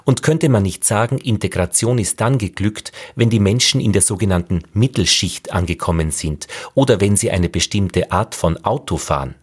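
A man narrating a radio feature in a measured, questioning way. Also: fairly low pitch, slightly rough. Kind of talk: scripted narration.

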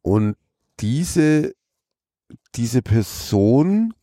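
A man with an Austrian accent talking informally as a teacher, halting and restarting his phrases as he goes. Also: mid-range voice, very animated, mellow, no echo, clean studio sound. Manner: halting, repeats phrase openings. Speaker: teacher, podcast co-host. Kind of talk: casual discussion.

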